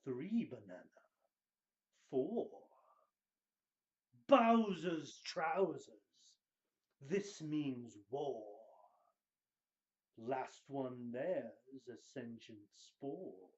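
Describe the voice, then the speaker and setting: A man giving a hammy, grandiose dramatic reading, drawing out his phrases with theatrical pauses. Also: medium pitch, lively intonation, darkish tone, highs cut off.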